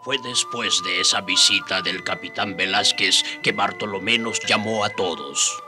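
A man playing a reflective native witness who narrates his memories in a measured way in a radio drama. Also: low voice, loud music behind the voice. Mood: reflective, moved.

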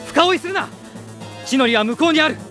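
A man acting in a Japanese radio drama as a calm, gentle young prince, speaking in an earnest, measured way. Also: extremely high pitch. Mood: commanding. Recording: studio drama with music behind.

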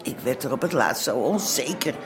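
An actress playing a level-headed café owner who tries to calm others down. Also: female voice, very deep voice, moderate pace, a crowd in the background. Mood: chatty. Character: sensible middle-aged café-owning wife. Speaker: café owner, boss's wife. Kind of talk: radio drama acting.

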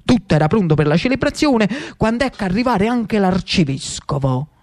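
A man's voice: high-pitched, very animated, normal speed, a little mellow. Theatrical Neapolitan man telling a story. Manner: dramatic, ironic, dialect-flecked. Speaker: actor-storyteller. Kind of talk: theatrical monologue narration.

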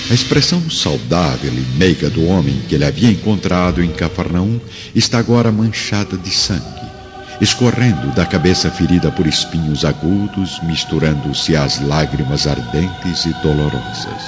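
Deep, solemn male narrator. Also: expressive, normal speed. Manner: solemn, emotive storytelling. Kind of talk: dramatic scripted radio drama narration. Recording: muffled radio drama with loud music.

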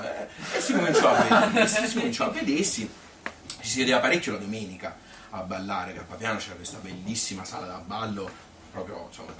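A man with a Tuscan accent playing a nostalgic, cheerful old grandmother in a chatty, halting stage monologue. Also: mid-range voice, fairly bright tone, echoey room, distant mic, slight background noise. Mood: fond, chatty. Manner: colloquial, halting, repeating phrases, chatty. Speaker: solo stage storyteller. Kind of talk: theatrical monologue as spontaneous spoken reminiscence.

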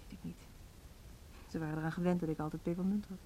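A woman's voice: lowish voice, steady tone, fast talker, dark tone, slight background noise, natural voice. An actress playing a weary woman music teacher with a drinking problem, speaking frankly in a naturalistic radio drama. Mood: wry, candid.

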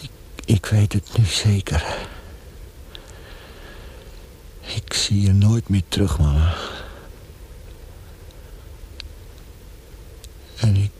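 An actor playing a feverish, tortured young male prisoner facing death in a radio drama, speaking haltingly with hushed passages. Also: lowish voice, slight background noise, close to an everyday voice. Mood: pleading, feverish.

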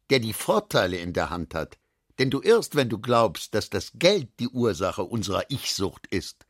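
An actor playing a worldly middle-aged businessman father, lecturing his daughter with emphatic, deliberate phrasing and a husky voice in a literary radio drama. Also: medium pitch, no echo, studio quality, exaggerated voice. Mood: argumentative.

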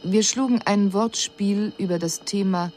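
An actress playing a detached extraterrestrial envoy in a radio drama, giving an analytical report in precise, sober, formal language. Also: female voice, medium pitch, a little slow, a little mellow, natural voice, low-quality recording. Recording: faint hiss on 1980s radio production.

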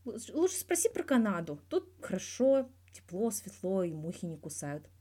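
A woman's voice: mid-range voice, very animated, normal speed, warm tone, slightly rough. A woman voicing a candid, wry young male star athlete giving a guarded but blunt interview in a story. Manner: guarded yet blunt, dryly teasing, swears freely.

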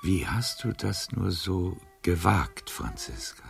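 An actor playing a frail, wry, world-weary old gentleman in a poetic radio drama, halting and often near a whisper. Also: low-pitched, big pitch swings, creaky, soft background music, heavily acted. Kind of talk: German radio drama acting, poetic verse.

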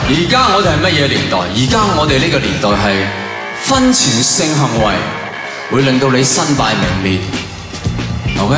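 A male stand-up comic with a Hong Kong Cantonese accent, pitched a bit high. He works a live audience with theatrical, punchy delivery and rhetorical questions. The recording is very echoey, like a big hall, with loud music in it. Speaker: stand-up comedian.

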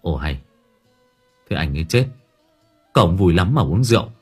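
A man's voice: low voice, big pitch swings, normal speed, darkish tone, breathy edge, faint music behind, exaggerated voice. Man voicing an exasperated, scolding younger brother. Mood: reproachful. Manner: curt, scolding, repetitive.

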